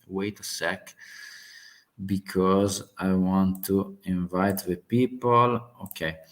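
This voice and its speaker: A man with a heavy Italian accent speaks in a low, deep register. He talks unhurriedly in English, halting often with fillers and restarts. He sounds like a live-stream host chatting with his audience. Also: level tone. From Italy. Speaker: host of live geopolitics chat. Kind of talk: casual live-stream talk.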